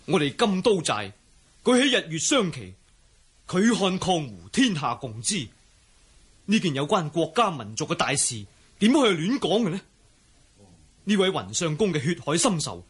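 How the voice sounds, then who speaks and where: A man's voice: high voice, husky, heavily acted. A male actor playing an earnest, accusing young outlaw fighter in a Cantonese wuxia radio drama, insistent yet deferential. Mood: righteous.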